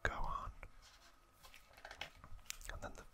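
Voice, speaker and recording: Man whispering slowly, crisp and bright. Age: 30s. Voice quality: breathy unvoiced whisper. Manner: slow, hushed, gentle delivery with pauses. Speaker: host of an ASMR video channel. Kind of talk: ASMR trivia talk, whispered.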